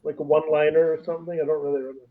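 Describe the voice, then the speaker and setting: A man's voice: a little high, level tone, very fast. A man co-hosting a podcast in a casual, chatty manner. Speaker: podcast co-host. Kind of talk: casual chat. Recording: thin, phone-like connection.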